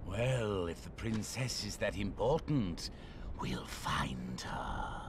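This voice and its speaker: An actor playing a suave, sinister sorcerer villain in a video game, with an oily, menacing, mock-courteous manner. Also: male voice, sits low, big pitch swings, slow, background noise, full character voice. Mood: scheming. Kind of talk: animated game villain acting.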